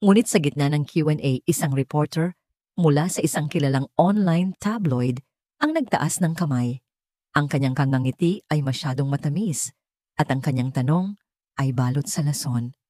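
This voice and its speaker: Lower-pitched Filipino woman narrating, mellow and dry. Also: expressive, normal speed, no room sound. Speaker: story narrator. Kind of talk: scripted dramatic narration of a love story.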